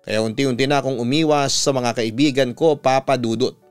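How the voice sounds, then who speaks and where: Smooth Filipino male storyteller over music. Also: mid-range voice, fairly even intonation, a little fast. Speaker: storyteller, radio announcer. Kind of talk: scripted dramatic narration of a listener's letter.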